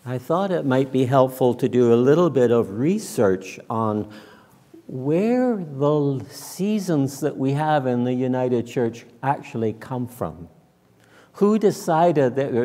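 An older man preaches in a mellow, soft-edged voice that carries through a reverberant church. He speaks slowly and deliberately, in the conversational style of a minister explaining things to a congregation. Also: medium pitch. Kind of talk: conversational sermon. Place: large church sanctuary, indoors.

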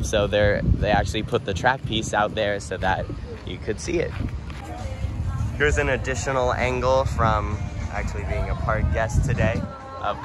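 A young man walking outdoors at a construction site, filming himself on a phone and chatting casually with many ums. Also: fairly low pitch. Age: young adult. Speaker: theme park vlogger. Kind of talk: casual walking tour vlog. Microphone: phone held at arm's length. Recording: noisy outdoor phone audio.

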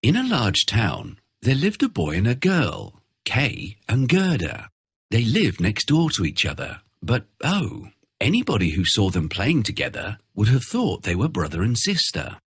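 A man narrating a children's fairy tale in an expressive, theatrical storytelling style. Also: mid-range voice, unhurried, breathy edge, dry recording, studio quality.

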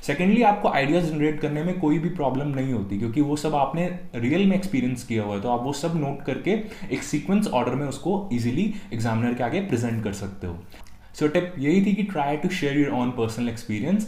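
A man's voice: medium pitch, moderate pace. A young man from India with an Indian accent, teaching exam tips in a video lesson and stressing each tip title. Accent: Indian English. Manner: energetic, punches each tip title louder. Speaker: test-prep tutor. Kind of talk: scripted video lesson, Hindi-English mix. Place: small room at a desk. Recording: echoey room.

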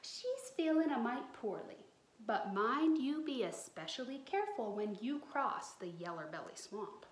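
Woman as a folksy, high-voiced country mother. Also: lively intonation, measured pace. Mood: fond, cautioning. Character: affectionate, folksy country mother giving instructions. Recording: roomy indoor echo.

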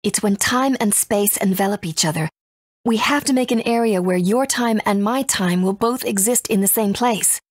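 A woman's voice: mid-range voice, normal speed, dry recording, studio quality, natural voice. A woman playing a composed, authoritative guide in a video game, serious and explanatory. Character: composed, authoritative woman guiding young heroes.

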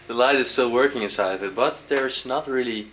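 A young man casually exploring a dark abandoned aquarium, curious and describing what he finds, with short laughs. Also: mid-range voice, fairly quick, slight background noise. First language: Dutch. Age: young adult. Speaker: urban explorer.

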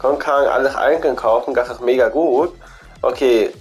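A man's voice: medium pitch, moderate pace, darkish tone, breathy edge, soft background music. Chatty man with a cold. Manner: chatty, repetitive, thinks aloud. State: has a cold. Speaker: gaming channel host. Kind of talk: casual game shop commentary.

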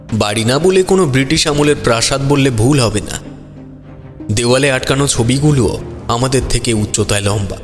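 A man's voice: medium pitch, lightly acted. A man voices a detective's companion telling a mystery in a scripted audio drama. His voice rings with long reverb, and music plays behind it. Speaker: narrator. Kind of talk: scripted first-person audio story narration.

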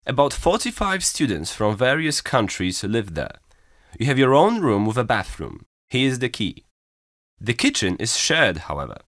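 A man giving a clear, careful scripted reading for a language listening exam. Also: lowish voice, a bit bright, no echo, clean studio sound. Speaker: exam recording reader.

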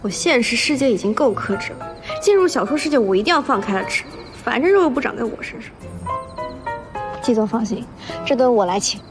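A young actress playing a playful, stubborn young food lover in a TV romance drama. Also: female voice, a little high, lively intonation, brisk, music in the background. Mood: eager, coaxing. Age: young adult.

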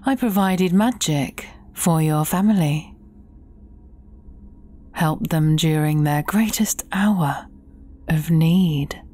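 Evil sorceress: low, mellow, theatrical menace with whispered turns, fairy-tale audio drama. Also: female voice, soft background music. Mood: brooding, bitter. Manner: slow, theatrical, menacing, often dropping to breathy whispers.

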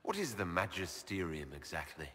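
A man's voice: deep voice, sing-song, moderate pace, a bit bright, in a large hall. An actor playing an earnest male elf leader who asks direct, skeptical questions. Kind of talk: video game dialogue.

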